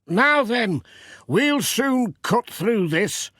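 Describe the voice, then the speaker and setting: A man's voice: very high-pitched, very animated, extremely slow, a bit bright, no room sound, studio quality, pitched above the performer's own voice, heavily acted. A man voicing a hearty, helpful farmer in a children's storybook, talking in a matter-of-fact way. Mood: hearty, busy.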